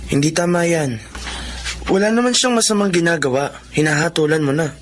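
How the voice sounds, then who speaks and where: A young man played by an actor as the sensible, caring elder son in a radio drama. His voice is fairly high, with faint noise behind it. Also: brisk, close to an everyday voice. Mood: questioning, reproving. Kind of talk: Tagalog radio drama acting. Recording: background noise and ambience.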